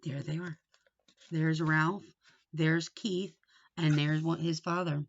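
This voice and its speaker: A woman teaching and reading aloud to young children, explaining things simply, asking them questions and reading with deliberate expression. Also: sits low, little pitch movement, a little hiss or hum. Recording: dull, lo-fi sound.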